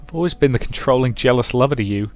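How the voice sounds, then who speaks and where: A man's voice: medium pitch, fast, a little mellow, faint music behind, lightly acted. A man voicing an anguished, guilt-ridden young woman with a hot temper in a visual novel, stammering and emotional. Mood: anguished, firm. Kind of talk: visual novel character reading.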